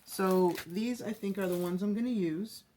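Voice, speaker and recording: A woman's voice: medium pitch, moderate pace, warm tone. A woman hosting a casual craft tutorial, chatty and rambling, her voice scratchy from the early morning. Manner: chatty, rambling, self-correcting. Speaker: craft channel host.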